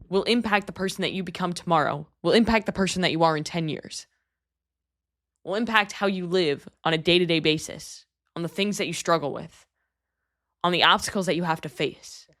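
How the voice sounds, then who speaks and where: A woman of about thirty hosts her own podcast in a personal monologue. Her voice sits slightly low, and she talks earnestly and candidly with casual swearing. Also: moderate pace, a bit bright. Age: 30s. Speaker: podcast host.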